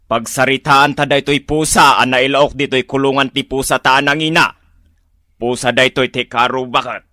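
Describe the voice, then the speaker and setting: An actor playing a stubborn, evasive village husband who grumbles, in an Ilocano radio drama. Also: male voice, medium pitch, steady tone, normal speed, bright, forceful tone, lightly acted.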